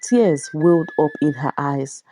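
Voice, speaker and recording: A woman's voice: low voice, measured pace, warm tone, faint music behind. A woman narrating a dramatic scripted story as a storyteller.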